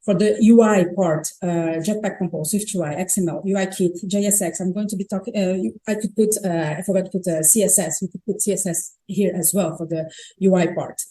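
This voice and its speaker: A woman's voice: lowish voice, fairly even intonation, fairly quick, warm tone, poor audio. A Brazilian woman, a native Portuguese speaker, giving a conference talk on stage in a chatty way, often restarting her sentences. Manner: chatty, self-correcting, frequent restarts.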